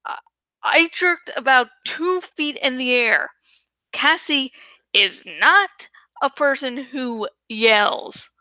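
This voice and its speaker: A woman reads a story aloud with expressive narration. Her voice is high and bright, and she takes a very slow, measured pace through the text. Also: no room sound. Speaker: amateur audiobook reader. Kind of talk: expressive scripted narration of a children's novel.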